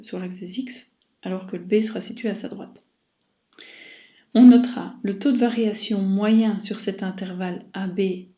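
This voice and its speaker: A woman's voice: mid-range voice, mellow. A woman giving a recorded math lesson as a teacher, explaining step by step and addressing her students directly. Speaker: mathematics teacher. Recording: dull muffled sound, roomy echo.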